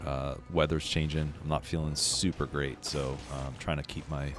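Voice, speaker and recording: A man casually commentating his game on a live stream, a little hoarse and sniffly from a cold, laid-back and chatty. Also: extremely low pitch, level tone, normal speed. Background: faint game music.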